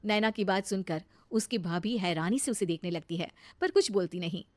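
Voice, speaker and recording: A woman's voice: medium pitch, lively intonation, normal speed, no room sound. A woman narrating a scripted cartoon moral story as its storyteller.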